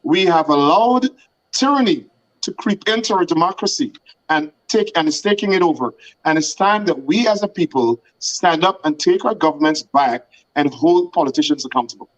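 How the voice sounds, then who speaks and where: Man, talk show guest, slightly high voice, deliberate, measured political argument. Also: animated, muffled recording.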